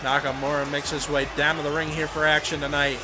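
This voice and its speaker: A young man with a hoarse voice from a cold, commentating a wrestling video game play-by-play and rising to shouts on big moves. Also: fairly high pitch, level tone, fast talker, music in the background. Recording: home recording with clear background noise.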